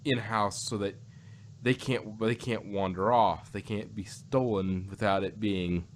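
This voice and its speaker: Rambling low-pitched man, noisy recording. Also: fairly even intonation, measured pace. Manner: rambling, repeating phrases. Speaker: podcast co-host reviewing audio dramas. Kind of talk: casual discussion. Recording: noticeable background noise.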